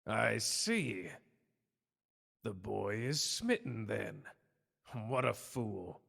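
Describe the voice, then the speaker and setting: A man playing a stern, scheming older superior with a gravelly voice, curt, formal and condescending, in a dramatic audio roleplay. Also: on the low side, expressive, extremely slow, fairly bright tone, exaggerated voice. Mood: cold, disdainful. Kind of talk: dramatic ASMR character roleplay. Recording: long cavernous hall reverb.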